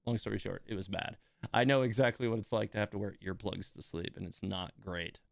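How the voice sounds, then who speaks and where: A man's voice: fairly low pitch, brisk, vocal fry, no room sound. Dry-sounding man chatting as a livestream host. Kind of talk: casual live commentary reacting to game voice lines.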